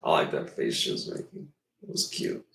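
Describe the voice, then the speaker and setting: Deep gravelly man rambling on stream. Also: huge pitch swings, normal speed, recorded from a distance, background noise. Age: 20s. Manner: rambling, meandering and self-deprecating. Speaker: livestreamer. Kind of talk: casual livestream chat. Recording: echoey room, away from the mic.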